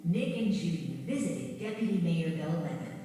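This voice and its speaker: A woman reading a scripted children's story in a measured, dramatic storytelling manner. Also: on the low side, expressive. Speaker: storybook narrator. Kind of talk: scripted children's read-along narration. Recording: very echoey, distant, music behind. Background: music.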